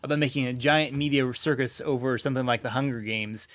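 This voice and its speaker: A man co-hosting a podcast in casual conversation, feeling his way through points with halting, rephrased sentences. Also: mid-range voice, steady tone, a bit bright. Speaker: podcast co-host. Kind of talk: casual conversational discussion of storytelling.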